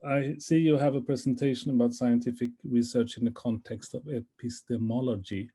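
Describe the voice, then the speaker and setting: A man moderates an online conference session over a video call. His voice is mellow and relaxed, and he talks in a polite, chatty way that rambles a little. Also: medium pitch, normal speed, slightly rough.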